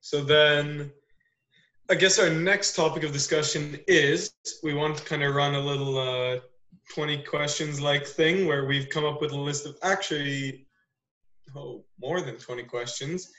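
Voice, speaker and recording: Joking Canadian-born podcast host, roomy sound. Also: male voice, a little high, steady tone, measured pace, fairly bright tone. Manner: joking, teasing, runs the agenda. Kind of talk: casual podcast chat.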